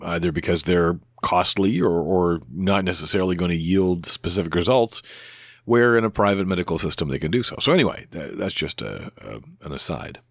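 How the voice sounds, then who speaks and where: A man with a deep voice talking casually into a close microphone on his podcast, rambling and hesitant with many restarts and fillers. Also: expressive, a little fast, creaky, no room sound. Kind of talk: casual spoken reply to another podcaster.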